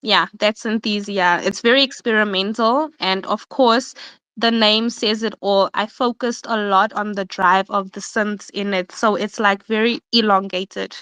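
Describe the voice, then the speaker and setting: A woman's voice: medium pitch, steady tone, unhurried, a bit bright, clean tone, no room sound. A young woman, a guest artist chatting thoughtfully about her music in a casual interview. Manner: reflective, talking through her music with fillers and laughs.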